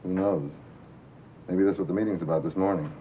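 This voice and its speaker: An actor playing a wry, disillusioned American radio reporter in a 1950s film drama, speaking in a terse, dry way. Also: male voice, sits low, steady tone, fairly quick, narrow, tinny audio, slight background noise, natural voice. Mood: weary, dry. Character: wry, disillusioned male radio reporter, tough and self-possessed. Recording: thin, boxy old film soundtrack.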